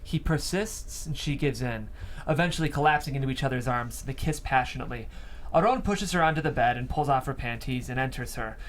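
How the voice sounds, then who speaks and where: A man's voice: medium pitch, a little fast, slight background noise, rough recording. A man reading scripted stage directions as the narrator of a table read.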